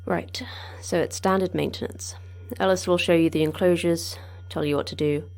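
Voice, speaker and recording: A curt deadpan lady boss of a secret sci-fi facility, lowish voice. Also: moderate pace, creaky. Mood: brisk, curt. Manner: terse, deadpan. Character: terse, wry woman managing a secret Antarctic facility. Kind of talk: audio fiction acting.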